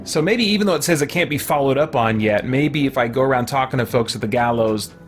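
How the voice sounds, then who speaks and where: A man's voice: mid-range voice, fast, faint music behind. A man giving casual gameplay commentary, puzzling out his options aloud as he plays, with background noise. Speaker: let's play host.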